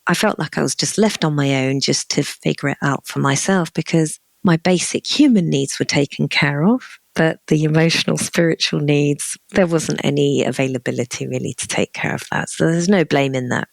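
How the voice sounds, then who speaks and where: Low gravelly woman, Chilean accent. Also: no room sound. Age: 40s. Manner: earnest, reflective, frank. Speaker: guest, spiritual consultant. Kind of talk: personal storytelling in conversation.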